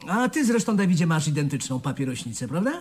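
An actor playing an earnest, excitable young nephew in a radio play, who repeats his words when agitated. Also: a little high, quick pace, breathy edge. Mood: earnest, admiring. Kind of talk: Polish radio play acting.

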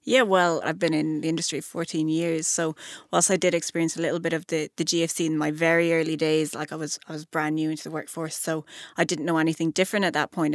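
A woman, hosting a podcast in a relaxed, chatty way. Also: deep voice, dry recording, studio quality. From Ireland. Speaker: podcast host. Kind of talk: podcast conversation.

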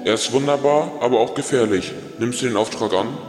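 A man playing a solemn, commanding God in a radio play, measured and authoritative, heard in a big reverberant space. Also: mid-range voice, a little mellow, lightly acted. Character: God, solemn commanding male ruler of heaven. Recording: long hall reverb with music.

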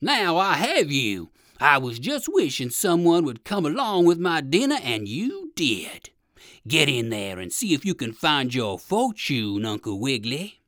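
Woman voicing a slow, sly storybook bear. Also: sits low, big pitch swings, a bit bright, no echo, clean studio sound, full character voice. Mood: gloating, menacing. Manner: slow, coaxing, menacing salesman.

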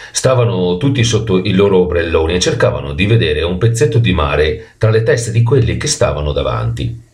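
A man reading a children's story expressively, with animated delivery and the odd stumble over words. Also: sits low.

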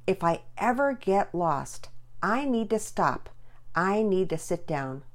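A woman's voice: fairly low pitch, sing-song, takes it slowly. A woman voicing a frightened, earnest, tearful young girl lost in a children's storybook.

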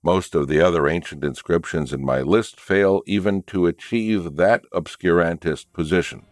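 A man with an extremely deep, low voice narrates a nonfiction book chapter. The delivery is scripted read-aloud narration, and the recording is dry and close, free of room sound. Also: normal speed, no room sound. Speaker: audiobook and podcast narrator.

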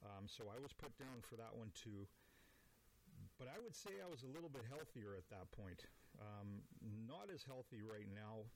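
A man's voice: on the low side, expressive, normal speed, a little hiss or hum, rough recording. A man in his forties hosting a podcast, rambling through a casual, profane, self-deprecating monologue. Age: 40s. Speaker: podcast host.

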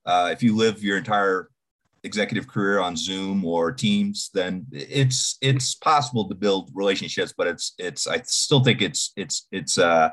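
A man in his forties from Boston, a podcast guest talking casually in a halting way, restarting and repeating words. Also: sits low, a bit bright. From Boston, United States. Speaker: podcast guest, security executive. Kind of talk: casual interview talk.

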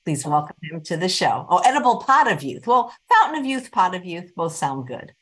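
A woman's voice: lowish voice, sing-song, brisk. A woman hosting an online cooking show, talking in a playful, chatty way. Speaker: host.

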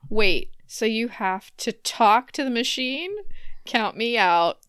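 A woman's voice: on the high side, takes it slowly, fairly bright tone, clean tone. A woman co-hosting a comedy podcast, chatting casually and reading out joke news items. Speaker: podcast co-host.

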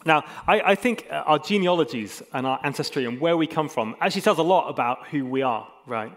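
A man's voice: fairly high pitch, animated, fairly quick. A man preaching an informal, conversational sermon as a pastor, with self-deprecating humour. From England and Hong Kong.

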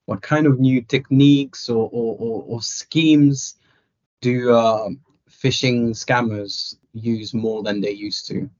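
Slow-talking male podcast host. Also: mid-range voice, fairly even intonation, darkish tone, lo-fi sound. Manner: measured questioning with small restarts. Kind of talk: interview questions.

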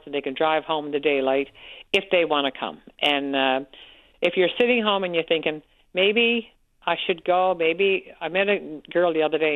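A woman with a Newfoundland accent calling in to a radio show, loud-voiced and frank, talking on and on. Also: low voice, no echo. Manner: frank, talkative storyteller. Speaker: caller, support group organizer. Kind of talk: radio call-in chat. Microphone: telephone. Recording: tinny phone line.

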